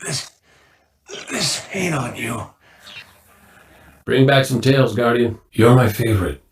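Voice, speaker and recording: A man's voice: mid-range voice, expressive, takes it slowly, reverberant. An actor playing a laid-back, folksy, conspiratorial man in a video game, speaking informally with a drawl. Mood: confiding. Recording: slightly distant, faint noise.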